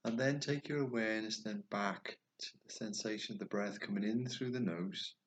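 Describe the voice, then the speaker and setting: A man guiding a meditation, speaking slowly and softly, at times dropping toward a whisper. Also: lowish voice. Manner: slow, soft and soothing, trailing off toward a whisper. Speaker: meditation guide. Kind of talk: guided meditation.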